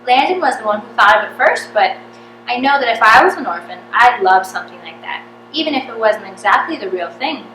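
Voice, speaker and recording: A woman's voice: fairly high pitch, lively intonation, a little fast, bright, forceful tone, voice pushed up. A woman voicing a sarcastic teenage boy who teases with mock-earnest politeness. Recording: echoey room, distant mic, faint air-conditioner hum.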